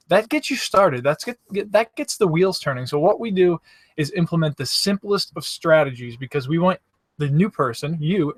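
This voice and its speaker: A man's voice: fairly high pitch, no room sound. A man hosting a live show, coaching viewers on making videos in an upbeat, encouraging way and speaking straight to them. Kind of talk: casual motivational coaching talk. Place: campsite.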